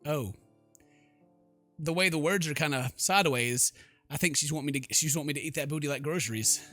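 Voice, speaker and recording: A man's voice: on the high side, brisk, sharp tone, faint music behind. A man doing goofy, slangy let's play commentary, reading game text aloud with theatrical exclamations. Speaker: gaming YouTuber.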